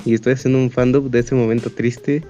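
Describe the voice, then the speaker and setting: Young man, Mexican accent, mellow timbre, casual interviewee, dull recording, faint background music. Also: medium pitch, little pitch movement, fairly quick. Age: young adult. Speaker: interview guest, amateur fandub voice actor.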